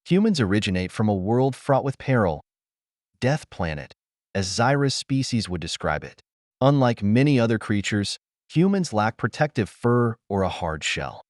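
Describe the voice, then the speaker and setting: Low-pitched man narrating with lively intonation. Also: normal speed, no echo, studio quality. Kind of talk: scripted science fiction audiobook narration.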